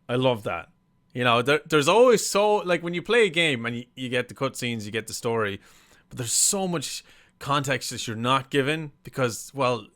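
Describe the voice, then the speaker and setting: Casting: male reaction host, bright and smooth-toned, casual, excitable, prone to swearing. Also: medium pitch. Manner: hyped, sweary, exclamatory. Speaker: reaction video host and game streamer.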